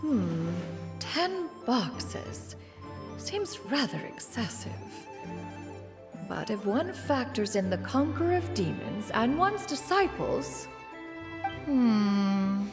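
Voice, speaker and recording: A woman's voice: a little high, sing-song, slow pace, exaggerated voice. A woman voicing an ancient, proud female adeptus who speaks in formal archaic terms and calls herself "one". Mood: deliberating. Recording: game audio with music.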